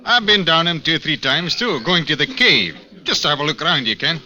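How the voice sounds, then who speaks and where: A talkative innkeeper in a vintage radio play. His voice is fairly high and very bright, bobbing up and down as he obliges his customers. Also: lively intonation, normal speed. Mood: chatty. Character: obliging, chatty village innkeeper, cautious and uneasy. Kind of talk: old-time radio acting.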